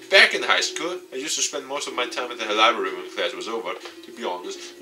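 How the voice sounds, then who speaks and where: Male YouTuber narrating visual novel, low pitch, halting delivery, distant mic, guitar music behind. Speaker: video game YouTuber. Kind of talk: reading a visual novel aloud with commentary.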